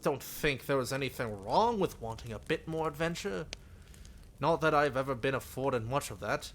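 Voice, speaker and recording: A man's voice: medium pitch, fairly bright tone, close to an everyday voice. A man voicing a cheerful, sheltered young princess in a visual novel, with an airy, regal, slightly formal manner. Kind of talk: visual novel character read-aloud.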